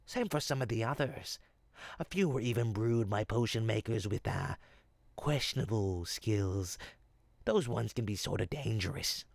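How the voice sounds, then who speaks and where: A performer voicing a sly, smooth-talking goblin potion peddler in an audio roleplay, with folksy, teasing salesman patter. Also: mid-range voice, expressive, measured pace, darkish tone, no room sound. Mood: confiding, curious. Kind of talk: audio roleplay, in-character monologue to listener.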